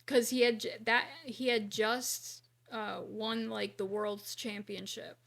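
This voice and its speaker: A woman's voice: mid-range voice, level tone, slow pace, creaky, fairly bright tone. A middle-aged woman in casual podcast chat, hesitant and often repeating herself as she goes. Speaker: podcast co-host.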